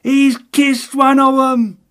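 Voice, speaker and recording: A man voicing a fussy, excitable little election agent who confides in everyone as his dear sir. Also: medium pitch, normal speed, pitched above the performer's own voice, close to an everyday voice. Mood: ecstatic.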